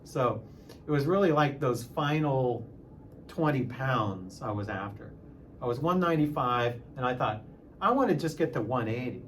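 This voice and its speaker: A man of sixty talking casually in a personal vlog, halting at times and repeating phrases for emphasis. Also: mid-range voice, animated, a little hiss or hum. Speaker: music teacher and channel host.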